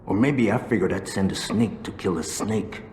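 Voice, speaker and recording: Man acting very deep streetwise game militant, bitter, menacing, reverberant space. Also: moderate pace, a little mellow, a little hiss or hum, lightly acted. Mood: bitter, guarded. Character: tough, bitter, foul-mouthed male street militant. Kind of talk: video game cutscene acting.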